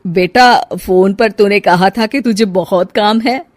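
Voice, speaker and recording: A woman's voice: medium pitch, unhurried, dry recording, studio quality, close to an everyday voice. A woman voicing an elderly, emotional and sulky mother-in-law in a cartoon moral story. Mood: calm, cool.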